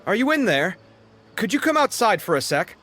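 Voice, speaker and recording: A man voicing a young, expressive and somewhat fussy man in a video game, lively and talkative. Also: high voice, moderate pace. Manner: lively, chatty and a little flustered. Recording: reverberant game scene with music.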